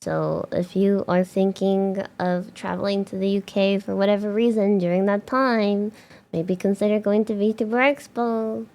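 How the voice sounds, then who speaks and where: A young woman streamer chatting casually to her viewers, rambling with fillers and restarts and bursts of bubbly excitement. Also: mid-range voice, normal speed, vocal fry.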